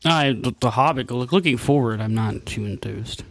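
A man's voice: mid-range voice, normal speed. A man co-hosting a podcast in laid-back casual chat with dry, joking remarks. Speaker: podcast co-host.

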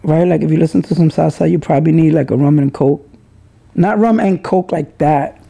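A man's voice: on the high side, fairly quick, creaky, dark tone, husky. A middle-aged man from the Bronx hosting a casual solo podcast, talking in a rambling, hedging, conversational way. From New York, the Bronx. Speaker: podcast host.

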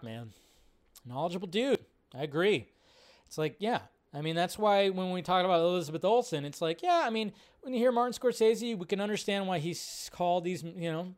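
A man hosting a live movie fan stream, chatting informally and ramblingly as he reads and answers viewer comments. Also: a little high.

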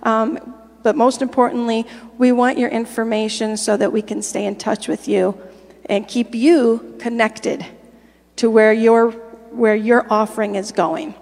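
A pastor's wife making church announcements and reading scripture in a friendly, direct way. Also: female voice, a little high, flat delivery, unhurried, gravelly, a little mellow, big-room sound. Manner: friendly, direct address with fillers. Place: church sanctuary.

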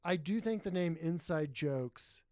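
A man's voice: lively intonation, unhurried, no echo, studio quality. A young man who is a comedian, chatting casually as a podcast guest, joking and self-deprecating. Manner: joking, self-deprecating, bursts into loud high mock voices. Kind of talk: casual chat.

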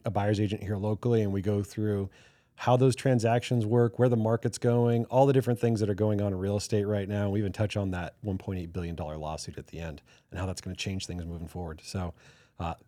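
A young man hosts a podcast in an easygoing, chatty way. His voice is low and mellow. The sound is dry and close. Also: brisk, no room sound. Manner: relaxed, chatty, tells anecdotes. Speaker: podcast host. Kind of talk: casual interview chat.

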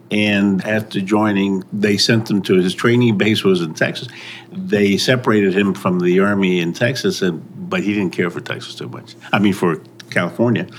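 Soft-spoken older man, very deep. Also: a little hiss or hum. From Mexico. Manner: halting, many pauses and restarts, soft trailing phrases. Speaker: restaurant owner. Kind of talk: casual interview.

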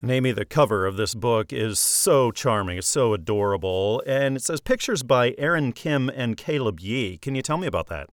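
A man's voice: mid-range voice, animated, no echo. Polished male podcast host, dry studio. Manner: polished radio-style delivery, drawn-out listing. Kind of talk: interview host, scripted intro.

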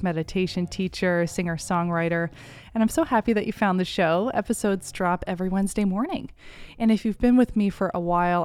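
A woman's voice: medium pitch, a little mellow, no echo. A woman hosting a podcast, welcoming listeners and introducing her guest with effusive praise before interviewing her. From United States. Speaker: podcast host.

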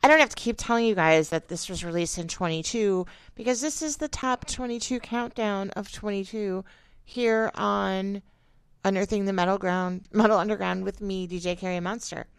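A woman's voice: medium pitch, normal speed, clean tone, dry recording. A woman with a Midwestern accent hosting a metal radio countdown, chatting upbeat between tracks into a studio microphone. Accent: Midwestern American. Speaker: radio DJ and host.

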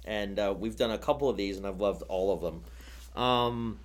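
A man's voice: on the low side, moderate pace. A man in his thirties, a business owner talking as a guest on a podcast, rambling with many restarts and fillers. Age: 30s. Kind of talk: casual podcast conversation.

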